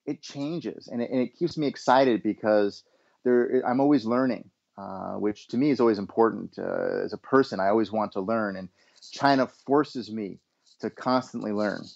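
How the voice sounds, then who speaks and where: A man's voice: fairly low pitch, creaky, a little mellow. American man on a dull lo-fi line. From Los Angeles, USA. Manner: measured, hesitant restarts. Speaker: guest, education consultant. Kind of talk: interview answers. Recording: dull lo-fi sound.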